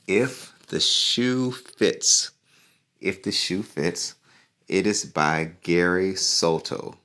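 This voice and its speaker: A man reading a picture book aloud to children in an encouraging, unhurried way. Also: low voice, fairly bright tone. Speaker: community leader and musician reading to children.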